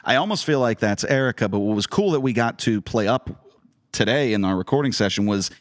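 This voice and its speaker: A man's voice: on the low side, animated, fairly quick. A man co-hosting a podcast in casual chat, explaining things conversationally and acting out imagined remarks. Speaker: director, podcast co-host.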